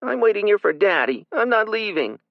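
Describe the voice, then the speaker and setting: A man voicing a stubborn little girl who whines and shouts back. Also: high voice, sing-song, moderate pace, creaky, no room sound, dull audio, voice pushed up, heavily acted. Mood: tearful. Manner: whiny, shouting. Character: stubborn defiant little girl, crying for her dad.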